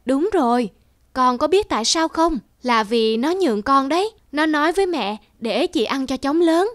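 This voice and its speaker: An actress playing a gentle mother in a cartoon, patient and coaxing. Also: sits high, expressive, normal speed, no echo, studio quality. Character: gentle mother coaxing her small daughter.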